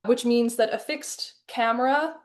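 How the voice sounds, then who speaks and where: A woman from Hong Kong with a somewhat high voice presents her work as an artist in an online talk. Her delivery is thoughtful and articulate, with carefully measured phrasing that sounds partly read from prepared notes. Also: steady tone. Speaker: artist in residence presenting her animated film. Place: live online webinar. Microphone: video call.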